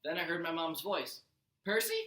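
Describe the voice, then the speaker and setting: A man's voice: on the high side, animated, normal speed, sharp tone. A man, a teacher, narrating a first-person storybook aloud with expression. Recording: roomy echo.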